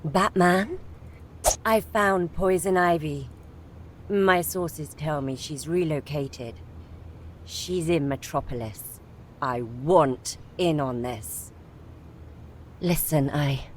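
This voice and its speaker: A woman playing a wry, cool cat burglar in an audio drama, speaking in a measured, hesitant way. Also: deep voice, slight background noise. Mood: sincere.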